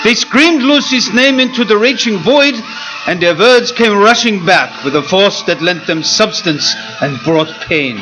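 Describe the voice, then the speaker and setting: A man narrating a stormy scene in a live radio play, measured and building. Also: high-pitched, lively intonation, over music, rough recording. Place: stage before a live audience.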